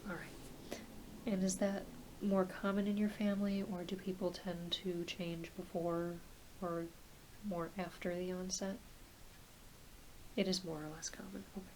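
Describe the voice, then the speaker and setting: A soft-spoken woman, often dropping into a whisper, gently playing a reassuring doctor in an ASMR roleplay. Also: on the low side, flat delivery, measured pace, low-quality recording. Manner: gentle, reassuring, hesitant questioning. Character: kindly, attentive doctor examining a patient. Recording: hissy close home recording.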